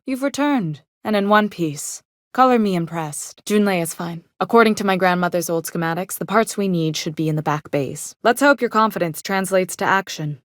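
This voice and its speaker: An actress playing a capable, no-nonsense chief engineer and station captain in a video game, with a measured, dry, businesslike manner. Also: female voice, fairly low pitch, no echo, lightly acted. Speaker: game character voice. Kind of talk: video game character dialogue.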